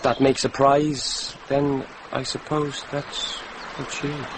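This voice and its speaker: A man's voice: mid-range voice, level tone, slow, natural voice. An actor playing a frank young man in a radio drama, candid and offhand in his talk. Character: frank young betrothed man, unhurried about marriage. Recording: noisy vintage radio drama recording.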